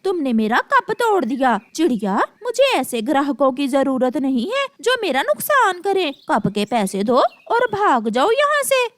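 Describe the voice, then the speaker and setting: A woman's voice: high-pitched, very animated, measured pace, few pauses, no echo. A woman voicing a greedy, bad-tempered female crow who runs a tea stall in a children's cartoon, bossy and quarrelsome. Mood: angry, demanding. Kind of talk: Hindi moral-story cartoon voice acting.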